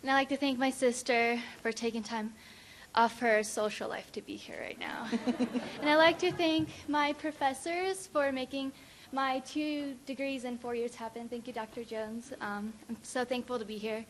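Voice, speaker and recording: A woman's voice: a little high, fairly even intonation, moderate pace, slight background noise. A young woman graduate giving a chatty, giggly thank-you speech at a ceremony. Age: young adult.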